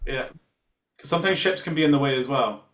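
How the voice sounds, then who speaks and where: A man's voice: mid-range voice, fast talker, fairly bright tone, dull audio. A man, a band musician in casual chat, jokey and bantering. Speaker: band musician being interviewed.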